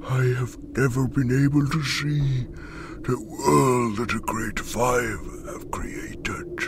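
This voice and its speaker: A man voicing a frail, ancient blind bird hermit who speaks in creaky, breathy whispers. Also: mid-range voice, fairly even intonation, slow, noisy background. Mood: ominous.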